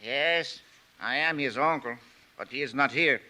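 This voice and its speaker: An actor playing a wary older uncle in a 1950s radio drama, speaking English, soft and measured. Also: male voice, medium pitch. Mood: guarded. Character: wary old Mexican uncle, guarded and proud.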